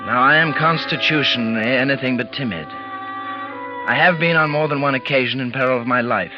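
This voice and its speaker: An actor as a dread-filled 1940s radio suspense narrator. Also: male voice, mid-range voice, natural voice. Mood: spellbound terror. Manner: dramatic, deliberate, halting phrases. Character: tense man recounting a night of mounting terror. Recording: muffled vintage 1940s radio recording, music behind.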